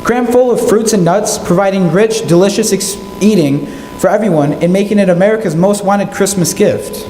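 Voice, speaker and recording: Man as a high-voiced radio pitchman. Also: normal speed, dark tone, close to an everyday voice. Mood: promotional. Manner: promotional, emphatic. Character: enthusiastic radio advertising pitchman. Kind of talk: old-time radio sponsor advert. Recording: echoey hall, background noise.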